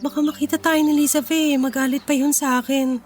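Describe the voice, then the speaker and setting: A woman's voice: high voice, fairly even intonation, fairly quick, a little mellow, soft background music, close to an everyday voice. A woman acting a poor but proud young working woman in a radio drama, speaking with earnest, wounded dignity. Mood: uneasy.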